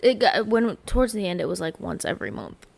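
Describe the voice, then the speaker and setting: A young woman with a light Southern US accent co-hosts a casual podcast, talking frankly and giggling between stories. Her voice has a gravelly, fry-tinged edge at the ends of phrases. She leans on "like" as she talks. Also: on the low side, sing-song, a little mellow. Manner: candid, giggly, drawn-out filler words. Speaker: podcast co-host. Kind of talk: casual chat.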